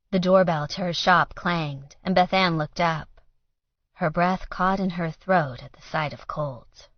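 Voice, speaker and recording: A woman with a fairly low voice narrates an audiobook story. The recording is very dry and a little dull at the top, with scripted prose read aloud. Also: no room sound.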